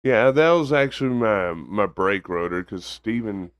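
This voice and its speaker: Low monotone baritone man, dry sound. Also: a little slow, smooth, no echo, muffled recording. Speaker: podcast co-host. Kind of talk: casual chat.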